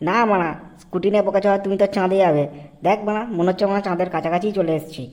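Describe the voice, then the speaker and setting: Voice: mid-range voice, fairly even intonation, rapid-fire, darkish tone, natural voice. Cartoon young troublemaker, voiced by an actor: crude, scornful, grumbling at his friend. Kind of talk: comic cartoon voice acting.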